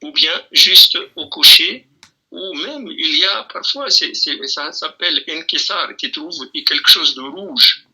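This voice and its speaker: A man explaining a religious question in a relaxed, hesitant way, with many restarts and fillers, sometimes quoting scripture. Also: on the high side, measured pace, breathy edge, poor audio. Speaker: imam answering a question.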